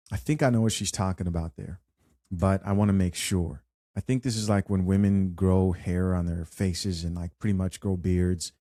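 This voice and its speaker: A man hosting an unscripted commentary show, chatty and animated as he reads viewer comments aloud. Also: low voice, dry recording, clean studio sound. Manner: chatty, animated, with frequent fillers and restarts. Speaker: host.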